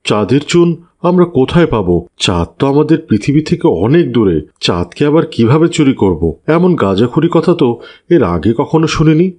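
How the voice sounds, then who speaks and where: A man's voice: mid-range voice, big pitch swings, moderate pace, dark tone. A man playing a proud, skeptical, stubborn landlord and father in a cartoon, speaking firmly. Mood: indignant disbelief. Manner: firm, indignant. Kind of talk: dramatized cartoon dialogue.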